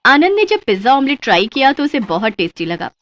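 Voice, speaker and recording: A woman's voice: high voice, sing-song, brisk, dull audio. A woman delivering scripted moral-story narration as the narrator.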